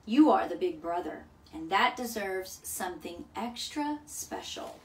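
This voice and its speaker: A woman voicing a gentle, motherly raccoon who soothes and reassures in a storybook reading. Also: lowish voice, expressive, measured pace, reverberant, far from the mic, slight background noise. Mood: soothing, loving.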